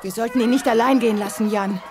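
An actress playing an anxious, alert young space adventurer in a children's sci-fi radio play, speaking dramatically. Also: female voice, fairly high pitch, fairly even intonation, fast, over music, natural voice.

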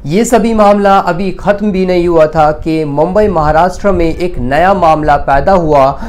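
A man's voice: high-pitched, a little slow, a little mellow. A man presents a scripted news explainer, addressing viewers directly in a formal way. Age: 20s.

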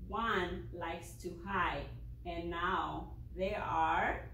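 A woman teaching preschoolers at circle time and reading them a story aloud, drawing each word out slowly and clearly for small children. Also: on the low side, pushed and bright, a little hiss or hum. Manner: slow, deliberate, drawn-out words for small children. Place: preschool classroom, indoors. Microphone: far camera. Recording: echoey classroom from a distant camera.

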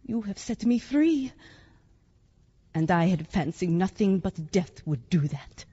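Actress as bitter foreign wife, husky and soft-toned. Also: female voice, lowish voice, expressive, muffled recording, heavily acted. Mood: bitter, resigned. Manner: formal, deliberate phrasing. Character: long-suffering foreign wife, bitter, frank, coolly scornful. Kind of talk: audio drama acting.

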